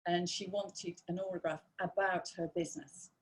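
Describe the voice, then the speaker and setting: A woman's voice: lowish voice, flat delivery, moderate pace, poor audio. A woman taking part in a live Q&A call, asking her question hesitantly and searching for words.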